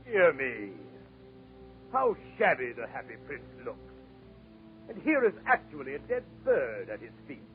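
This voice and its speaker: A man's voice: medium pitch, very animated, a little slow, pauses often. A man playing a vain, fussy town mayor, pompous and indignant, in 1940s radio acting. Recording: tinny old 1940s radio broadcast, faint music.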